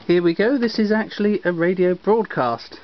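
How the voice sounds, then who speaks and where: A man's voice: sits high, normal speed, noisy background. A man presenting to viewers in a mock-formal broadcast announcer manner. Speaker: presenter of an old-record video. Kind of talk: mock-broadcast introduction to camera. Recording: dull lo-fi home video sound.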